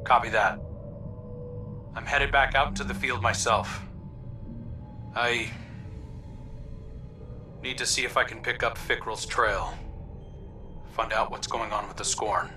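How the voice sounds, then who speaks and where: A man acts out an earnest game scout delivering a sober report. His mid-pitched voice is measured and serious. It sits a little away from the mic, with clear music behind it. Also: fairly even intonation, recorded from a distance, close to an everyday voice. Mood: resolute. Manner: measured, grave, deliberate reporting. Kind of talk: scripted video game dialogue.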